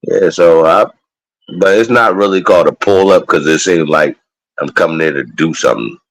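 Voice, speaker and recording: Deep-voiced man in his forties, profane. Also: moderate pace, fairly bright tone, rough recording. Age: 40s. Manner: loose, profane street talk. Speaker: guest on a live stream. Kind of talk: casual chat.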